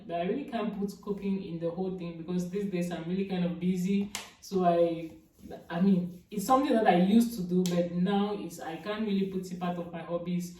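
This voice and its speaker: Young woman, vlogger, mellow big voice, rambling chatty delivery, recorded far from the mic in a very echoey room. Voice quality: big, deep-sounding voice. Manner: chatty, repeats herself, fillers like "I mean". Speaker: YouTuber. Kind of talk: casual get-to-know-me vlog.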